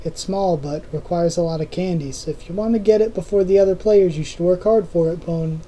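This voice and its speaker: A hoarse reader voicing a game guide mascot who tacks a catchphrase onto its lines, speaking it lower and softer than the narration. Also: brisk, slight background noise, close to an everyday voice, rough recording. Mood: advising.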